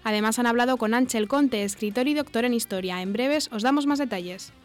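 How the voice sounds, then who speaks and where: A woman's voice: on the high side, fairly quick. A woman with an accent from Spain presenting a scripted introduction as host of a radio program. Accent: Spanish from Spain. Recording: music bed under the voice. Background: guitar music.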